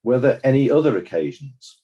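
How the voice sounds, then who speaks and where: A man playing a formal examiner who puts measured questions to witnesses in a scripted inquest drama. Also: medium pitch, very animated, muffled recording, natural voice. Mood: formal, probing.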